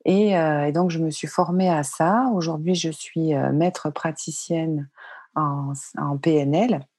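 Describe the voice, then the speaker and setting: A woman's voice: low voice, fairly even intonation, a little slow, darkish tone, no echo, studio quality. A woman in her fifties from France, a coach answering interview questions earnestly, often repeating words and hesitating. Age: 50s. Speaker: coach, guest expert.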